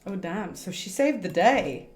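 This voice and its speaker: A woman's voice: on the low side, lively intonation, measured pace. Woman streamer chatting in a roomy echo. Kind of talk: casual reaction commentary over a video game. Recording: roomy echo, game music behind. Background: video game music and dialogue.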